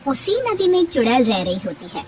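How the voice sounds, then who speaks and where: A woman's voice: sits high, sing-song, fairly quick. A woman narrator reading a scripted children's spooky story. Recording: slightly distant voice under clear music. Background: background music.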